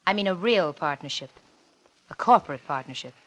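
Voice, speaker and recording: An actress playing a cunning, coolly blackmailing wife in a 1940s radio suspense drama, with a coy, teasing, insinuating manner. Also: female voice, fairly low pitch, animated, fairly quick, poor audio. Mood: coolly calculating. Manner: coy, teasing, insinuating drawl with theatrical swoops.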